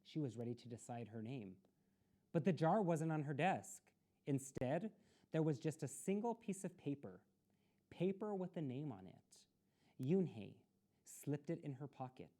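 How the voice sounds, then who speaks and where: Slow, expressive woman reading a storybook. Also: low voice. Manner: expressive, deliberate delivery with asides to listeners. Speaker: storytime reader. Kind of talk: reading a children's picture book aloud.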